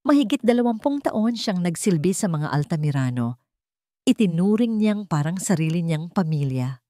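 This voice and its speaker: A woman with a Filipino accent voicing a calm, serious man with hidden bitterness in an audio drama. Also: on the low side, moderate pace, no room sound, clean studio sound. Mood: bitter, grave.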